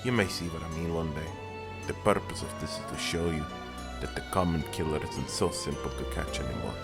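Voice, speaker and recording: A man's voice: rumbling low, fairly quick, gravelly. A man playing a world-weary, grave older detective in a fantasy audio drama. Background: music.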